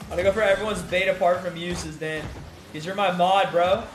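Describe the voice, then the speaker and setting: A young man streaming live, chatting casually with his viewers and reading their messages aloud, in a laid-back, filler-heavy way. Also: high-pitched. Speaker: gaming streamer.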